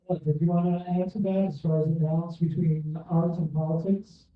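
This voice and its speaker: A man with a high voice asks questions briskly. He sounds far from the mic, heavily echoey, and narrow like a phone line. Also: level tone. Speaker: moderator.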